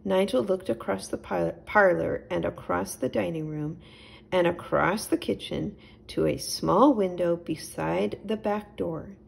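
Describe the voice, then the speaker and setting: A woman's voice: on the low side, measured pace, vocal fry, slightly rough. A woman storyteller reading a children's story aloud.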